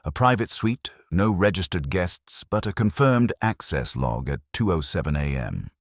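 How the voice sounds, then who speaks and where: A man voicing a calm, clipped private investigator reporting facts with a slightly uneasy edge in an audio story. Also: deep voice, moderate pace, no room sound, clean studio sound, heavily acted.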